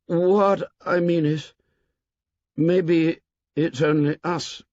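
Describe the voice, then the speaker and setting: A man's voice: on the high side, fairly even intonation, extremely slow, a little mellow, no room sound, pitched above the performer's own voice. A man voicing a shy, thoughtful schoolboy who speaks hesitantly, searching for words. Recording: dull lo-fi dry audiobook.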